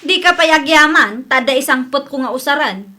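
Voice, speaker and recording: A woman's voice: sits high, animated, normal speed, bright, forceful tone. A woman with an Ilocano accent playing a chatty, eager housemaid and wife in a comedy audio drama. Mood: matter-of-fact, nagging.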